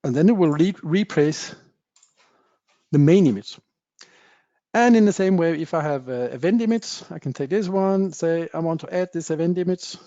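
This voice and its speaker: Man giving a software demo. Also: on the high side, expressive, moderate pace, dry recording. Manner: plain explanatory talk with frequent uh fillers, non-native phrasing. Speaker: software presenter. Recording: dull dry webinar audio.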